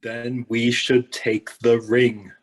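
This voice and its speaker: A man's voice: mid-range voice, flat delivery, slow. A man playing a boastful, impatient human warrior in a fantasy table read, theatrical and indignant. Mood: impatient, scornful.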